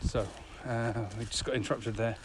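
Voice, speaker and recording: A man's voice: mid-range voice, little pitch movement, brisk. A man, chatting casually as a podcast co-host. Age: 50s. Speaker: podcast co-host, business owner. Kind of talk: casual conversational podcast chat. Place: outdoors at dusk. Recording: clearly noisy outdoor background.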